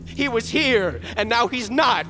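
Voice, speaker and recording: Anguished young warrior, wildly swinging pitch. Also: male voice, expressive, unhurried, music in the background. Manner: emotional, voice rising in outbursts. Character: anguished, grieving young warrior grandson, defiant and confused. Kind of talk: audio fiction drama acting.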